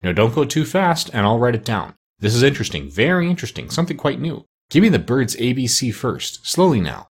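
A man voicing a kindly, curious, excitable male country doctor with polite manners, in a storybook. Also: mid-range voice, brisk, hardly any pauses, husky. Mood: excited. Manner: mild, eager, polite.

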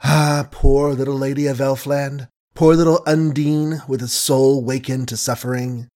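A man's voice: mid-range voice, steady tone, a little slow, darkish tone, natural voice. A man voicing a young woman who mocks with sweet, suave sarcasm in an audiobook reading. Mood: sweetly mocking. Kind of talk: audiobook character voice.

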